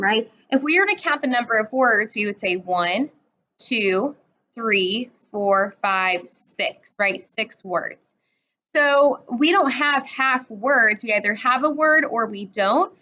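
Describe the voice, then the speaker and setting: A woman's voice: fairly high pitch, bright, forceful tone, slight background noise. A woman in her twenties teaching a math lesson, explaining step by step and checking in with a frequent "right?". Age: 20s. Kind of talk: recorded classroom lesson. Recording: dull lo-fi recording.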